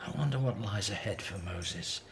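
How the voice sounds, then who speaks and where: A man's voice: low voice, moderate pace, creaky, slight background noise. A man playing an earnest, devout Hebrew father in a biblical radio drama. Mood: wondering, worried. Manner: earnest, expressive. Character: devout, anxious Hebrew father in ancient Egypt.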